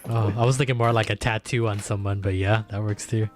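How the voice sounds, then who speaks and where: Guy co-hosting a stream, teasing and laughing, lowish voice, faint music behind. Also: steady tone, a little fast. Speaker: podcast co-host. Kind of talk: casual live-stream chat.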